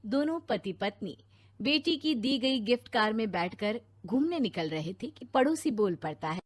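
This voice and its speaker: A woman narrates a cartoon story from a script. Her voice sits high in pitch. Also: lively intonation, normal speed, no echo.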